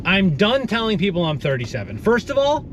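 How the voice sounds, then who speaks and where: Guy in his 30s, podcast banter, blunt and sweary, fairly high voice, clear background noise. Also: huge pitch swings, fairly quick. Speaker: podcast co-host.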